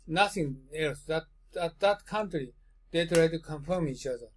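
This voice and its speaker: A man from Japan with a Japanese accent, speaking halting, fragmented English as a second language while insistently leading an informal discussion. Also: fairly high pitch. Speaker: interviewer, host.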